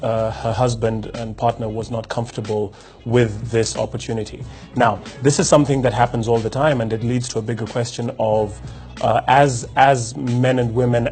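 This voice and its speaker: A man's voice: medium pitch, a little mellow. A man hosting a motivational talk, speaking reflectively with frequent restarts and rhetorical questions. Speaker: host. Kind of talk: casual motivational talk to camera.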